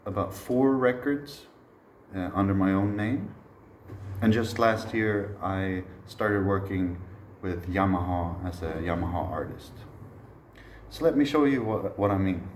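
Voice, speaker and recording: A low-voiced man in his thirties, originally from Sweden, talks through a masterclass in a reflective, unhurried way, pausing often. The room has a noticeable echo, with faint hiss underneath. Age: 30s. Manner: relaxed, reflective, frequent "uh" pauses. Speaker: musician teaching a masterclass. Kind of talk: informal spoken lecture.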